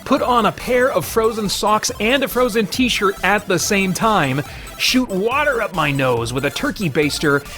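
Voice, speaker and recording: An American man in middle age with a fairly high voice talks as the host of an online channel. He sounds earnest and conversational, addressing his audience directly, with light music in the mix. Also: expressive, unhurried, bright, forceful tone. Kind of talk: video commentary to camera, part casual musing, part fundraising pitch.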